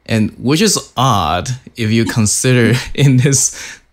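A man of forty with a Mandarin Chinese accent, hosting a casual interview and speaking slowly with many fillers. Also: medium pitch, animated. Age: 40s. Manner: slow, hesitant, many "like" fillers.

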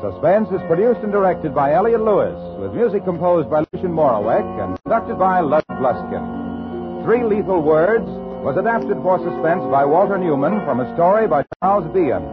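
A man's voice: on the high side, very animated, moderate pace, loud music behind the voice. An American man announcing and reading advertising copy on 1950s radio in an emphatic, formal delivery. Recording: thin, tinny vintage radio recording.

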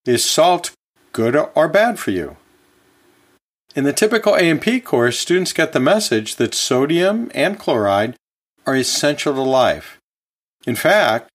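A man hosting an educational podcast, talking like a chatty teacher in a conversational, folksy way with casual asides. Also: medium pitch. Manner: chatty, chuckles often, self-correcting asides. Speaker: podcast host and anatomy and physiology teacher.

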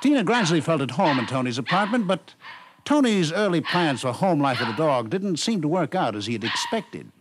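A man's voice: fairly high pitch, very animated, brisk, heavy background noise. A man reading scripted storytelling narration in the manner of 1950s radio. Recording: dry 1950s radio studio recording.

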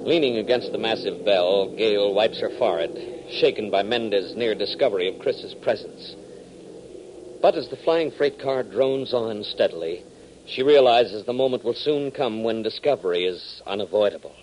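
A man's voice: lowish voice, lively intonation, normal speed. An American man narrating a radio adventure serial in a dramatic, scripted 1940s style. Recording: faintly noisy 1940s radio recording.

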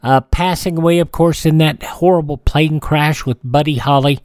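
A man hosting a radio show in a casual, conversational way, with deliberate phrasing and pauses. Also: on the high side, fairly even intonation, darkish tone, clean tone, no echo, studio quality. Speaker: radio host. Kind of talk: scripted but casual radio show presenting. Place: dry radio studio.

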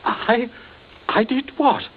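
An actor playing a nervous, cornered gentleman in an old radio thriller, husky and stammering. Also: very high-pitched, takes it slowly, exaggerated voice. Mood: frightened, protesting. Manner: stammering, hesitant, flustered. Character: nervous, cornered gentleman being blackmailed into silence. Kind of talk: 1940s radio thriller acting. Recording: tinny old-time radio recording.

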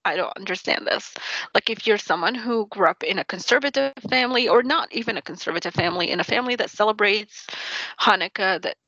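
Emphatic New York woman, bright and dry. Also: mid-range voice, fast talker, dry recording. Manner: emphatic, rephrases often. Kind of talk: casual chat. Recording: dull lo-fi sound.

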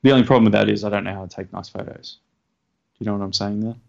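A man co-hosting a casual podcast, talking hesitantly with many fillers and a self-deprecating manner, feeling low on energy. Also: fairly even intonation, rapid-fire, creaky, darkish tone. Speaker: podcast co-host. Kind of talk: casual chat. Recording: dull lo-fi sound.

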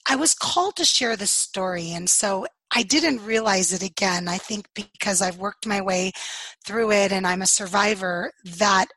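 A woman's voice: mid-range voice, a bit bright, slightly rough, no echo, poor audio. A woman guest on a podcast, telling a personal story in an earnest, candid way.

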